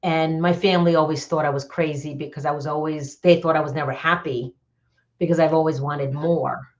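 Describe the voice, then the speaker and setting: A low-voiced woman with a New York accent speaks as a podcast guest. Her delivery is candid and casual, doubling back on phrases for emphasis. The audio is dull and slightly muffled. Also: steady tone, a little fast. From New York area, United States. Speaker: podcast guest, business coach. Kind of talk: casual personal storytelling.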